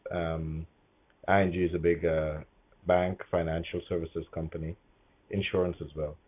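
A middle-aged man from Guyana answering interview questions as a corporate executive, speaking in a measured, hesitant way with frequent pauses. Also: deep voice, flat delivery, breathy edge, phone-quality sound.

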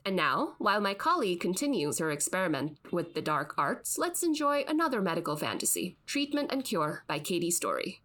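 A woman's voice: lowish voice, big pitch swings, brisk, few pauses, fairly bright tone. An actress playing a dry, skeptical podcast host, the exasperated straight woman in scripted comic banter. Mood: presenting. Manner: wry, skeptical.

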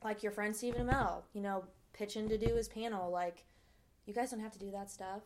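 A woman's voice: mid-range voice, steady tone, a little fast, background noise. A woman hosting a casual interview, chatty and candid with some casual swearing.

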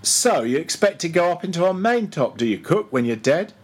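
A man's voice: on the high side, very animated, fairly quick, breathy edge, voice pushed up. A man voicing a bossy, mocking ship's mate who badgers and gives orders in a storybook reading. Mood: mock-solemn, bossy. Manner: commanding, interrogating, mock-solemn.

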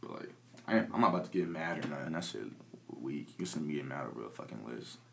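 A man's voice: very deep voice, animated, fast talker, creaky, slight background noise. A young man from Peoria who raps and produces, talking in a laid-back, mumbly way with lots of fillers and swearing. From Peoria, Illinois. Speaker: rapper and producer. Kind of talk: casual interview chat.

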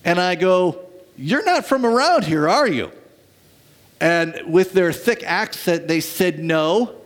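A man preaching a sermon to his church as pastor, telling stories dramatically and swinging from lively to hushed. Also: on the high side.

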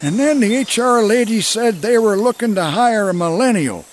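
A man's voice: sits high, lively intonation, normal speed, soft background music. A man voicing an indignant middle-aged job seeker awkwardly trying to sound hip.

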